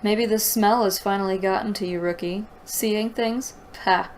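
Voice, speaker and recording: A woman's voice: medium pitch, fairly even intonation, unhurried, a little hiss or hum, close to an everyday voice. Woman reading as a scornful, jeering necromancer. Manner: scornful, mocking. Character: jeering senior male necromancer, scornful bully.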